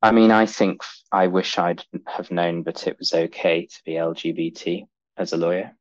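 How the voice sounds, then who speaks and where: A lawyer with a deep voice, speaking earnestly and confidently as an interview guest. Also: steady tone, normal speed. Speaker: podcast guest, real estate lawyer. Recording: dull sound, echoey room.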